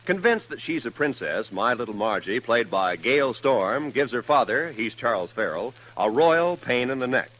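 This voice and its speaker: An American man reads as a vintage radio announcer. His delivery is polished and theatrical, with swooping, animated pitch and a bright, forceful tone. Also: moderate pace, hardly any pauses, rough recording. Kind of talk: 1950s radio announcing, scripted promo.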